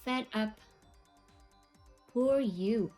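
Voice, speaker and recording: Slow, deliberate woman teacher. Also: a little high, dark tone, reverberant, soft background music. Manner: slow, deliberate, demonstrating phrases. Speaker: language teacher. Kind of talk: scripted video lesson. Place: indoor studio with cloth backdrop. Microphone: lapel mic.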